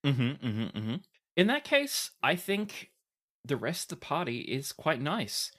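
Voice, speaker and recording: A game master narrating a tabletop fantasy game in a deliberate, descriptive storytelling manner. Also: medium pitch, big pitch swings, a bit bright.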